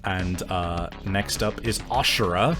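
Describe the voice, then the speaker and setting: A man's voice: deep voice, slow, bright, forceful tone, soft background music. A man acting as game master, improvising tabletop game narration in a casual, sweary way.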